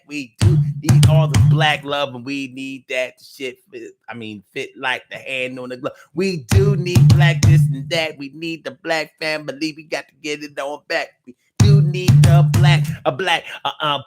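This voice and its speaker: A man hosting a podcast, riffing loosely in a playful, rambling way with heavy slang and repetition. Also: on the high side. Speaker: podcast host. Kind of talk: casual late-night podcast sign-off.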